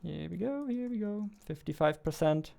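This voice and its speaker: A man's voice: high-pitched, expressive, normal speed, creaky, mellow, slight background noise. A man in his twenties chatting casually on a livestream as he works, muttering to himself and repeating phrases. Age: 20s. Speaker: game developer livestreamer. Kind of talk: casual livestream chat while working. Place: indoor room at a computer desk. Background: keyboard typing and mouse clicks.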